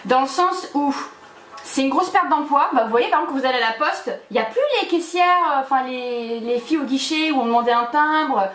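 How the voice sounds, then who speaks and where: A woman guest in a casual chat over a cocktail, expressive and opinionated, with hesitant fillers. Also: sits high, fairly quick. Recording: echoey room, faint noise.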